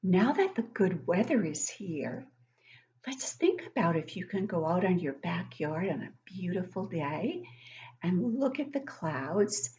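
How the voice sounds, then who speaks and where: Animated middle-aged woman reading to children. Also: on the low side, unhurried, lo-fi sound. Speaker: children's read-aloud host and teacher. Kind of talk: interactive picture-book reading for young children. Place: living room at home, indoors. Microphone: webcam at desk distance.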